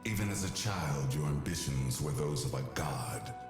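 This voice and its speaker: A man voicing a grave, wise old guardian god in a video game, solemn and measured. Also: a bass voice, expressive. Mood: stern reproach. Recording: echoing voice over music.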